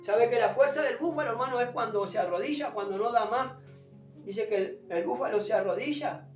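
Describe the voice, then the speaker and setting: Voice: medium pitch, brisk. A preacher delivers a fervent, emphatic sermon, pushing a strained, sore throat and often repeating exhortations. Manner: emphatic, exhorting, repeats phrases and addresses listeners as brother. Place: echoing room, preaching unamplified. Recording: roomy, distant pickup without a microphone, music behind.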